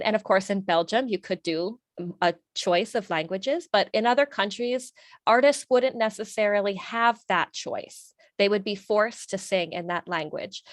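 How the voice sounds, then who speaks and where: A woman's voice: medium pitch, no echo, clean studio sound. A woman hosting an interview, asking long, thoughtful questions in a chatty way with frequent fillers. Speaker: interviewer host. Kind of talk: casual interview.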